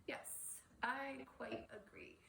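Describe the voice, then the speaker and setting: A woman voicing a nervous middle-aged husband in a storybook, halting, mumbling and trying to sound casual. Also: high voice, fairly even intonation, gravelly, sharp tone, whispered, pitched above the performer's own voice, exaggerated voice. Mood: sinking, agreeing. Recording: roomy echo with faint background noise.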